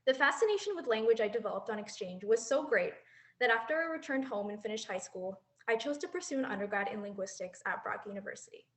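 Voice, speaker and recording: A woman's voice: mid-range voice, expressive, fast, a bit bright. A woman in her twenties giving an earnest, reflective prepared speech as a former exchange student, laughing lightly now and then. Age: 20s. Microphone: handheld mic.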